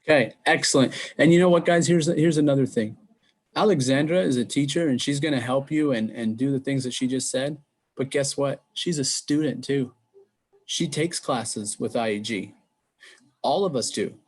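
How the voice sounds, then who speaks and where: A man's voice: medium pitch, moderate pace, breathy edge. A man hosting an online meeting, upbeat and encouraging as he introduces speakers. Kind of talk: casual hosting.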